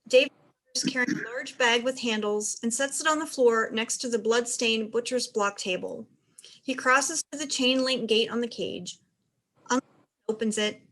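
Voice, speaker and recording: A woman's voice: on the high side, a bit bright. A woman reading scripted stage directions as the narrator of a play.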